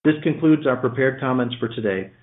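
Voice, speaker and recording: A man in the role of company executive reads a scripted earnings presentation in a formal, measured way. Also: mid-range voice, phone-quality sound. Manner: formal, measured read-out delivery. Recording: roomy, slightly band-limited conference call line.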